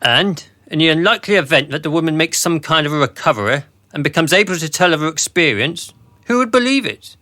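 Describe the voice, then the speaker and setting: Smug sneering boss guy played by an actor, radio play, arch and pompous, high voice, very up and down. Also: animated, a little fast, sharp tone. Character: sneering, smug male boss of a sinister operation. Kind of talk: radio drama acting.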